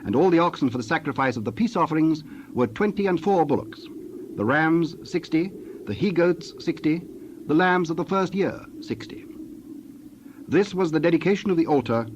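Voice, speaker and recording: A man narrating a dramatized scripture reading in a formal, measured manner. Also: fairly high pitch, animated. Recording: dull lo-fi audio, faint background noise.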